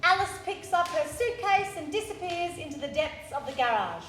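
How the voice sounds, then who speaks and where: An actress performing an earnest young garage worker and narrating in a live radio play. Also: female voice, extremely high pitch, very animated, normal speed, fairly bright tone, full character voice. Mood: narrating, wistful. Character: earnest young garage girl in period drama. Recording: echoey stage, faint noise.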